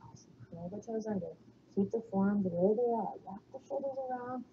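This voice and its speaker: A woman with a slight Texan accent guiding a yoga class, giving calm, soothing cues and breathing the exhales out in whispers. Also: medium pitch, a little fast, dull audio, slight background noise. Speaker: yoga instructor.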